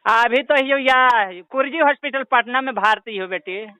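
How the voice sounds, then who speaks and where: An ailing old villager from a comic prank call, with an extremely high, clear voice and a Bihari accent. The old villager whines and pleads, rambling on to a daughter in a dry, close sound. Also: male voice, normal speed, pushed and bright, no room sound, low-quality recording. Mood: plaintive. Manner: pleading, rambling, repeatedly addressing the listener as daughter. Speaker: prank caller.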